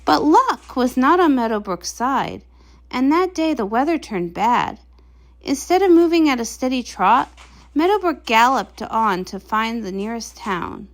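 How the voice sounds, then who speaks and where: A woman narrator reading a comic story aloud in an expressive storybook style. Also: a little high, unhurried.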